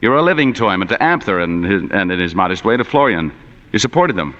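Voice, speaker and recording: An actor playing an unflappable, sardonic, slangy hard-boiled private detective in 1940s radio acting style. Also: male voice, fairly low pitch, sing-song, fast, a bit bright. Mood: dry, probing. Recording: dull vintage radio recording, long hall reverb.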